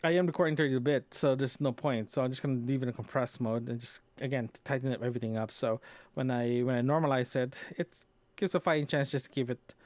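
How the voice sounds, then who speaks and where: A man recording a casual, unscripted solo audio log, talking in a rambling, halting way and often rephrasing himself. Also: medium pitch, steady tone, a little mellow, no room sound. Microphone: close microphone into a recorder, compressed.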